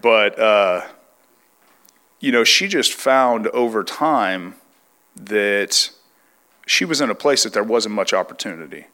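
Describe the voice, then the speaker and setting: A man's voice: fairly low pitch, expressive, slow pace, pauses often, sharp tone. A young man from California, congested and under the weather, telling his life story in a plain, reflective way. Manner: reflective, plain-spoken, restarts often. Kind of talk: testimony interview.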